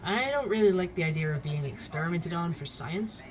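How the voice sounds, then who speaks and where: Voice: medium pitch, lively intonation, fairly quick, slight background noise, lightly acted. An actor playing a young, curious, skeptical night-shift barista who narrates an investigation in a musing, conversational way for an audio drama.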